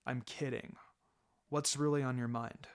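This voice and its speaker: A man acts out a laid-back ninja mentor from an audiobook story. His voice sits low and soft, measured and wry, with a gently sombre, consoling edge. Music plays clearly behind him. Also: steady tone, lightly acted. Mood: wry, teasing. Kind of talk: fan fiction audiobook character acting.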